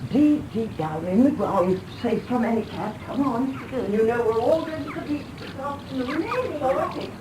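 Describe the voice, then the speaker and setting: An actress playing a brisk, fussing, possessive elderly British mother in a radio play. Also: high-pitched, expressive, noisy background. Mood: brisk, fussy. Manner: brisk, fussy, exclamatory.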